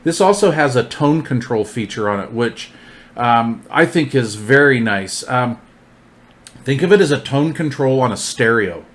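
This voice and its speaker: A man's voice: mid-range voice, lively intonation, a little slow. Male product reviewer, mid-range voice, informal and plainspoken, walking through features. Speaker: product reviewer presenting gear. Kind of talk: informal product overview, partly listing features.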